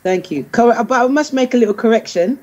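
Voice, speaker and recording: A woman's voice: a little high, a little fast, darkish tone, lo-fi sound. A middle-aged woman talking casually as a guest artist on a panel.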